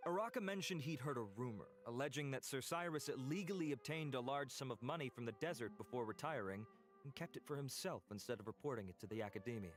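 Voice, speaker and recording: An actor playing a dutiful guard in a video game, giving a formal, factual report. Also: male voice, medium pitch, expressive, fast talker, soft background music, close to an everyday voice.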